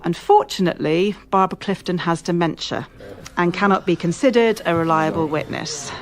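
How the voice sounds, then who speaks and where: An actress playing a brisk, authoritative police officer in a radio drama, speaking in a measured, official manner. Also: female voice, on the low side, a crowd in the background, natural voice. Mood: brisk, formal. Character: brisk, authoritative female police officer leading a case briefing. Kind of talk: formal police briefing, radio drama acting.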